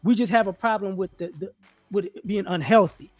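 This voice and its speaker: A man's voice: high voice, a little fast, no echo, faint music behind. A man co-hosting a live stream, talking casually in slang, telling stories with lots of restarts. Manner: slangy, animated storytelling with many restarts. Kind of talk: casual discussion. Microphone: remote call setup.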